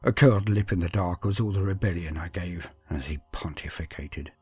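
A man voicing a brooding male sorcerer speaks in a very low, hushed voice over music. He is defiant and deliberate, telling his own dark fantasy story. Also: husky, dry recording. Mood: brooding resolve. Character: brooding, defiant village sorcerer, once an apprentice.

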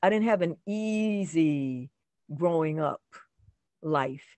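An older woman from North Carolina telling personal anecdotes casually, in a halting way. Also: low voice, lively intonation, a little mellow, no room sound. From North Carolina, United States. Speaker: guest actress. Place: room at home, on a video call.

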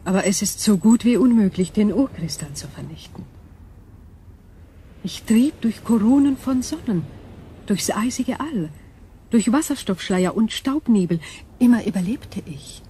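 A woman's voice: medium pitch, lively intonation, measured pace, mellow, slight background noise, lightly acted. A woman playing an ancient, detached alien crystal intelligence in a radio drama, speaking in formal, precise, analytical phrases. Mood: calm, proud.

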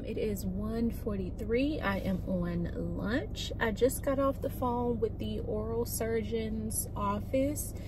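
A woman in her thirties chatting casually to camera from her car, animated and talking with her hands. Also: fairly high pitch, takes it slowly, hardly any pauses, over loud music. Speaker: vlogger. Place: parked car, daytime. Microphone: phone on the dashboard. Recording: loud car and traffic noise. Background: car and road noise.

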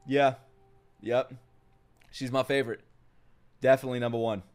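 A young adult American man giving excitable, slangy, crude live reactions as a streamer, casually chatting and exclaiming. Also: medium pitch, normal speed. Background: music.

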